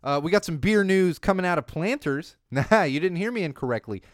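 A man hosting a casual news podcast in a studio, rambling and correcting himself as he goes, his voice a bit hoarse from losing it. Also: high-pitched, expressive, a little fast, no room sound. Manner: chatty, rambling, self-correcting. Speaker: podcast host.

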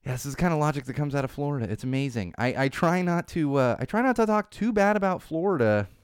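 Podcast host, young adult man from Southern California; wry, rambling monologue with restarts, smooth resonant voice in a dry booth. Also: a little high, animated, fast, dry recording. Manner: rambling, wry, self-deprecating, frequent restarts. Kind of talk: solo podcast monologue on social trends. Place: home studio.

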